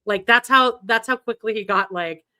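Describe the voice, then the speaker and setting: A woman's voice: mid-range voice, normal speed, bright, forceful tone. A woman co-hosting a podcast in casual pop-culture chat, rambling and enthusiastic with lots of "like" and restarts. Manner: rambling, heavy use of "like", frequent restarts; chatty and enthusiastic. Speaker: podcast co-host.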